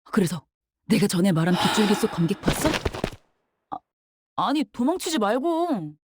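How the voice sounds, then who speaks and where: A woman's voice: mid-range voice, sing-song, normal speed, background noise, lightly acted. An actress playing a breezy, teasing woman in a game, chatting casually and pushing with questions. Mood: playful. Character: breezy, teasing female acquaintance from the spider's house. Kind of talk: scripted game character dialogue.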